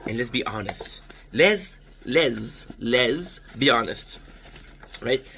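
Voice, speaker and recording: A man's voice: mid-range voice, animated, slow pace, sharp tone, a little hiss or hum. A man in his thirties from Canada with a French Canadian accent, chatting casually and rambling through a food-tasting vlog with profanity and self-deprecating jokes. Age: 30s. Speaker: vlogger.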